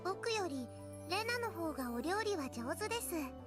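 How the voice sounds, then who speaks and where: A woman's voice: very high-pitched, big pitch swings, fast. A woman playing a sweet, shy, easily flustered teenage girl in a Japanese visual novel, cute and hesitant. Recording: game audio with music over it.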